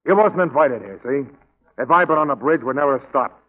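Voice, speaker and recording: An actor playing a gruff, bullying first mate with curt tough-guy speech, in 1940s radio style. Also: mid-range voice, lively intonation, a little fast, close to an everyday voice. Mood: curt, menacing. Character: surly, bullying first mate of a mystery ship. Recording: tinny, band-limited 1940s radio recording.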